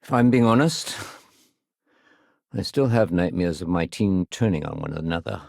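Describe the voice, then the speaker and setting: A dutiful, somewhat weary security sergeant from a sci-fi game. His voice sits a little low and moves widely in pitch. He talks in a clipped, conversational, businesslike way, recorded close and dry. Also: animated, moderate pace, vocal fry, darkish tone, no echo, full character voice. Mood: appreciative, sincere. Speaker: security officer. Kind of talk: video game dialogue acting.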